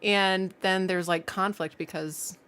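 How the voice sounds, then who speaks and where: A woman's voice: mid-range voice, steady tone, slow, a bit bright, clear, resonant tone. A woman co-hosting a livestream, chatting casually and retelling things with incredulous emphasis and acted-out quotes. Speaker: livestream co-host. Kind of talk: casual chat, comic movie review.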